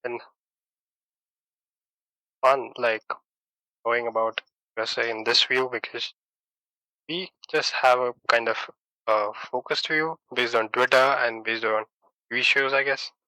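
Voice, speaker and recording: Bright-voiced man from India, laughing. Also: mid-range voice, steady tone, a little slow, long pauses, clean tone, no room sound, rough recording. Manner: laughs often, searches for words. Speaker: podcast host. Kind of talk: casual chat.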